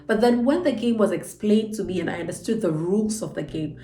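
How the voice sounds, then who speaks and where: A woman's voice: mid-range voice, brisk, mellow. Nasal woman with a cold, encouraging. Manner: earnest, encouraging, stretches words for emphasis. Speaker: channel host. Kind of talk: motivational book breakdown. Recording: echoey room with faint music.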